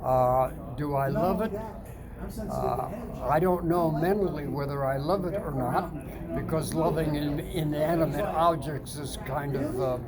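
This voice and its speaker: A very old man speaks slowly from inside a sauna, with loud crowd noise behind him. His voice sits a little high for a man, and he halts often with fillers and restarts. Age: older. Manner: halting, many fillers and restarts. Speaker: sauna customer. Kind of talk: casual testimonial chat. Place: indoors, seated in a sauna.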